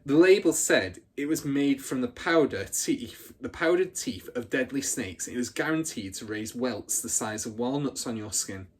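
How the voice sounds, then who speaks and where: A man's voice: medium pitch, lively intonation, moderate pace, hardly any pauses, breathy edge. A man voicing a boastful, battle-hardened older schoolgirl in a storybook, telling her exploits with swaggering drama. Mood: boastful, relishing.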